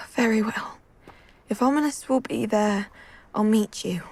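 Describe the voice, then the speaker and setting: A woman's voice: fairly high pitch, a little slow, darkish tone, no room sound. A young woman voicing a reserved, weary, dutiful teenage girl in a video game. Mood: reluctant. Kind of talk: video game cutscene acting.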